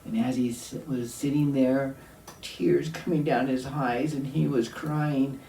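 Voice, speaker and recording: A man tells a folktale to children at an unhurried pace, pausing for effect. His voice sits in an echoey room, some way from the microphone, with faint noise behind it. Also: medium pitch, fairly even intonation, vocal fry, dark tone. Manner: deliberate, with dramatic pauses and questions to the listener. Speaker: storyteller. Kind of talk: oral storytelling to children. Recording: roomy echo, distant mic, faint noise.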